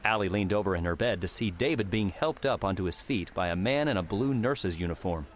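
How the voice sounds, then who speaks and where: Low-voiced male audiobook narrator. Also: expressive, fairly quick, slight background noise. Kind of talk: scripted third-person fiction narration. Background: music.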